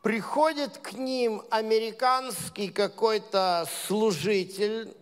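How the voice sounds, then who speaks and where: Guy giving a loose sermon, lots of ums, slow and clear, big echoey hall. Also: high voice, steady tone. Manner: conversational, hesitant with many fillers, builds to emphatic points. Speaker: leader of a Messianic congregation. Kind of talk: informal sermon. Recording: long reverb of a large hall.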